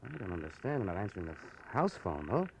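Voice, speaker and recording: A fussy 1950s radio-play doorman, polite but hesitant. He talks fast with animated pitch, over clearly audible vintage recording noise. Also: exaggerated voice. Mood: uneasy. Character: fussy, nervous, deferential male apartment doorman. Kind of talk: 1950s radio drama acting.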